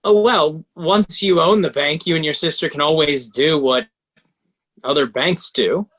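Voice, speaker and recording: A man playing a pragmatic, smooth-talking banker who coolly advises and persuades, in a table read of a stage musical. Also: fairly high pitch, measured pace, fairly bright tone. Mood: courteous, measured.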